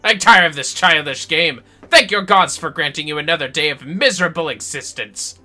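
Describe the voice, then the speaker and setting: A man's voice: on the high side, moderate pace, bright, forceful tone, faint music behind. A man voicing a contemptuous, wrathful villainous mage from a fantasy game, declaiming theatrically. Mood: scornful.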